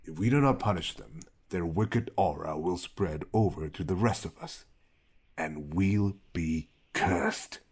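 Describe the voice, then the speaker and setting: A man voicing a cold, self-righteous village elder with a gravelly voice in an audio drama. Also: low-pitched, a little slow, darkish tone, exaggerated voice. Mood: stern. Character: stern male village elder, zealous punisher.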